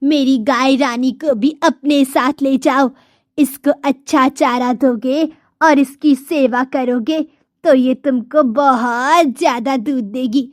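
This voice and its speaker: Woman acting slow, high-voiced frail old villager. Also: steady tone, no echo, studio quality. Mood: motherly. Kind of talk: Hindi moral-story cartoon dialogue.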